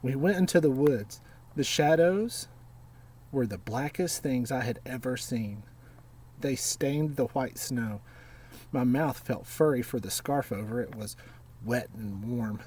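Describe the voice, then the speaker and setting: Unhurried man reading a storybook aloud. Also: mid-range voice, darkish tone, slightly rough, recorded from a distance. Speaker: storybook reader. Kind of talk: read-aloud children's storytelling.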